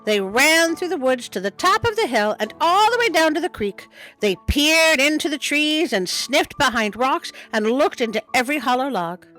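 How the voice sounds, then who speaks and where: A woman reading a children's story aloud in a playful, expressive way, with chatty asides. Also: high-pitched, moderate pace, faint music behind, low-quality recording. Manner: playful, expressive, self-interrupting. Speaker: storytime host and reader.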